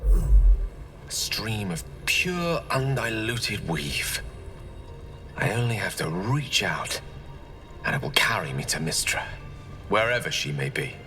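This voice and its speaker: A man's voice: lowish voice, very animated, slow pace, pauses often, pushed and bright, soft background music, heavily acted. A man playing a proud, witty wizard in a video game, eloquent, theatrical and wry, nursing old heartbreak. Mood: earnest resolve. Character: proud, witty male wizard, wounded ex-lover of a goddess. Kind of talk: dramatic video game dialogue.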